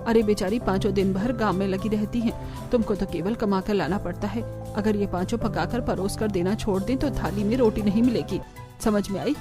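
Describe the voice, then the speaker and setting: A woman's voice: medium pitch, fairly quick, over music, natural voice. A woman voicing a scolding older village mother-in-law who is protective and exasperated, in a family cartoon. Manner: scolding, exasperated, rustic idioms. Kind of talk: Hindi family cartoon voice acting.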